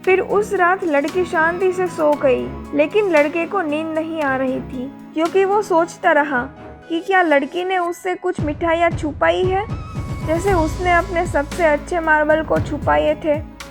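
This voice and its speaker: A woman's voice: very high voice, a little slow, gravelly. A woman narrating a scripted short story as a storyteller. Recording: music behind the voice. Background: music.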